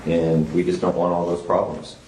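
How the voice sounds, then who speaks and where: A male police investigator talking in a measured, persuasive way during an interrogation. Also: deep voice, flat delivery, a little mellow. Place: small indoor police interview room. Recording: echoey room, clear background noise.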